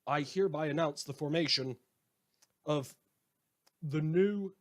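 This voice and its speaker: A man's voice: on the high side, unhurried, close to an everyday voice. A man playing a sardonic, mock-grandiose radio host turned self-appointed lawman in a scripted audio drama monologue, rambling and repeating himself. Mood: grandly announcing. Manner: sarcastic, rambling, mock-grandiose, hesitant repetitions.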